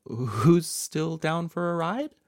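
Man acting a nervous, baffled, high-pitched guy. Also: sing-song, very fast, no echo, clean studio sound. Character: nervous, eager man baffled by haunted smart home.

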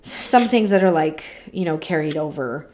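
A woman's voice: deep voice, animated, normal speed, darkish tone, a little hiss or hum. A young woman from the United States co-hosting a podcast, chatting at length in a talkative way full of fillers. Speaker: podcast co-host. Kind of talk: casual chat.

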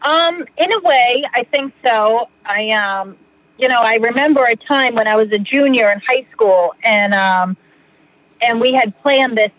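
Bright-voiced middle-aged woman telling her story. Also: fairly high pitch, no room sound, poor audio. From Massachusetts. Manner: rambling, many fillers, quick laughs. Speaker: podcast guest. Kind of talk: casual storytelling in an interview.

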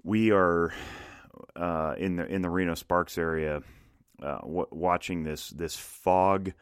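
Male solo podcast host, extremely low and resonant, slightly scratchy from smoke, halting with lots of fillers. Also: fairly even intonation, clear, resonant tone, no room sound, clean studio sound. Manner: hesitant, many fillers and restarts. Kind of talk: casual solo podcast talk. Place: home studio in the Reno area.

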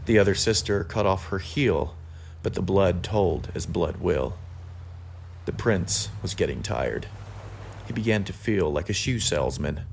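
Male reader, very low and gravelly, measured delivery for poetry and reflective commentary. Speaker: guest reader. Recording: faint background noise.

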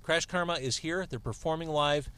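A man's voice: a little high, normal speed, clean tone. A man with a Chicago accent hosting a casual, joking chat with a band inside a small car. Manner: chatty, joking, animated. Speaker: host.

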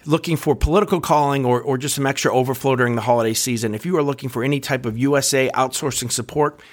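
A middle-aged man hosting a podcast, nasal from a cold, chatty and quick with frequent restarts. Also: medium pitch, steady tone. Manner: chatty, restarts words, fast bursts. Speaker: podcast host. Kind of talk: casual podcast talk.

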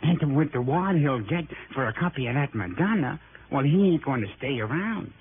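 A man's voice: a little high, lively intonation, moderate pace. A man playing a sly, grasping small-time informer, streetwise and wheedling, in an early 1960s radio drama. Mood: confiding. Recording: hissy, muffled old radio broadcast.

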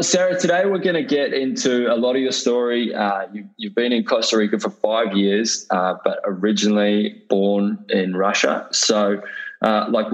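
A man's voice: fairly low pitch, poor audio. A man hosting a podcast interview, enthusiastic and chatty, often restarting sentences with fillers. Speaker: podcast host. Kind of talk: casual interview chat.

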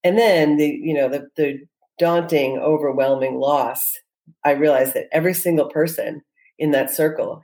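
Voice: medium pitch, gravelly, a little mellow, rough recording. An entrepreneur answering interview questions in an earnest, reflective way, often restarting sentences and using fillers. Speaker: entrepreneur guest.

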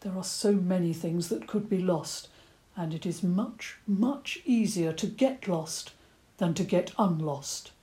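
A woman voicing a gentle, courteous old male badger who stammers a little. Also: fairly low pitch, measured pace, natural voice. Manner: stammering, courteous, slightly eccentric.

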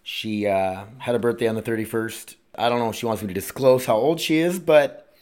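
A man in his late thirties talks through his own podcast alone. His voice sits in an ordinary middle pitch. He wanders from thought to thought, restarts his sentences and drops curse words casually. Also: fairly quick, darkish tone. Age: 30s. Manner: rambling, profane, self-interrupting. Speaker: podcast host.

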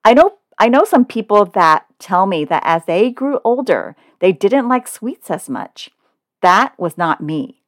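A woman's voice: mid-range voice, big pitch swings, measured pace, dry recording, studio quality. A woman in her forties, a podcast host from California, talking in an upbeat, chatty, personal way. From Oakland, California. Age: 40s. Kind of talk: scripted podcast monologue.

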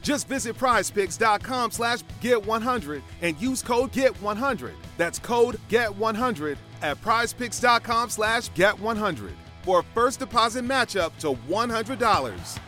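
A man's voice: sits high, expressive, unhurried, few pauses, pushed and bright. A man reading an upbeat promotional advert as a commercial announcer. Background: music.

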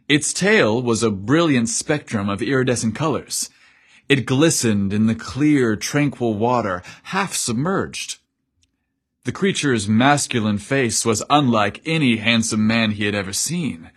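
A man reads a story aloud in a measured, descriptive way. His voice has a husky, breathy grain and sits in a middle register. The delivery is that of scripted narration. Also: mid-range voice.

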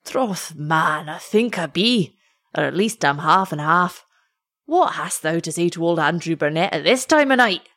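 An old Scots shepherd father with broad dialect and a gruff, vehement manner, voiced by a woman reading a storybook. His lines are slow and drawn-out, delivered close and dry. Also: on the high side, expressive, bright, forceful tone, no echo, full character voice. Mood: gruff jesting. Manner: blustering, vehement, drawn-out phrasing.

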